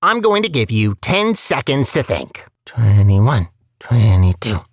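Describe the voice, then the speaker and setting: A scheming artificial intelligence from a video game, with a lowish female voice that swings dramatically up and down. She is chatty and sarcastic, putting murderous intent in cheerful, mock-polite words. The sound is close and dry. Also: big pitch swings, a little slow, slightly rough, no room sound, heavily acted. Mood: taunting. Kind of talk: video game character dialogue.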